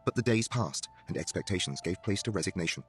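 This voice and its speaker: A man's voice: deep voice, lively intonation, quick pace. A man narrating a horror story in a dramatic, scripted reading style. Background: music.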